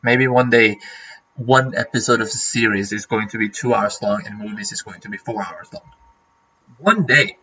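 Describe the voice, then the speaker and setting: A man's voice: lowish voice, normal speed, bright, forceful tone, slightly rough, dull audio. A man from Norway, a native Norwegian speaker, chatting casually on a livestream and rambling with lots of fillers and restarts. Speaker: streamer.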